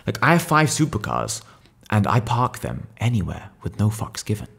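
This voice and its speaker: A man's voice: fairly low pitch, wildly swooping pitch, normal speed, vocal fry, a bit bright. A young man in his twenties with an English accent, talking bluntly on a podcast and swearing freely at a table mic indoors. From England. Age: 20s. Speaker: podcast guest, business owner. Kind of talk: casual podcast conversation. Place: room seen, small room at a table indoors. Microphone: boom mic on an arm.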